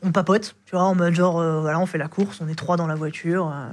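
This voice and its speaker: A man's voice: fairly high pitch, steady tone, brisk. Man telling driving stories casually. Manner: rambling, heavy slang and fillers. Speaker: rideshare driver guest. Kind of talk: casual storytelling.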